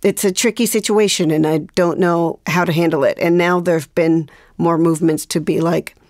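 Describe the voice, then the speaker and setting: Low-voiced, thoughtful woman. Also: fairly even intonation, moderate pace, darkish tone, no echo, clean studio sound. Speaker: interview guest. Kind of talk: reflective conversation.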